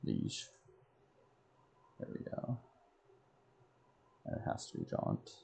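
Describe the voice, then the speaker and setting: A man's voice: takes it slowly, warm tone, a little hiss or hum. A man streaming casually, thinking aloud and muttering to himself in short fragments. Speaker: game streamer.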